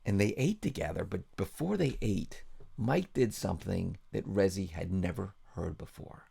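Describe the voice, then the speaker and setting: Low, very gravelly male storyteller. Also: lively intonation, normal speed, warm tone. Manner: folksy, unscripted, repeats phrases. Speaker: pastor. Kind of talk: informal bedtime storytelling.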